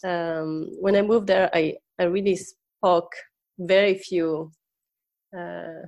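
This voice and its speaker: Italian-accented woman, slightly low voice. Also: steady tone, takes it slowly, darkish tone, muffled recording. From Italy. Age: 40s. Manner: hesitant, searching for words, often laughing. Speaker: podcast guest, life coach. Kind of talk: casual personal storytelling in an interview.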